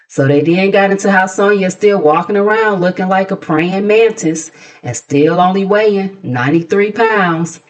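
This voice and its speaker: Sassy American woman, lowish voice. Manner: sassy, conversational, with mocking asides. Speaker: host of a gossip commentary channel. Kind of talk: casual gossip recap and commentary.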